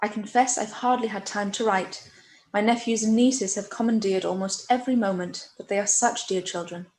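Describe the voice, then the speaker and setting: A woman playing a gentle, earnest young gentlewoman voicing her own letter in a period radio play, tender and measured. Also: medium pitch, fairly even intonation, lightly acted. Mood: affectionate. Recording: roomy echo, faint background noise.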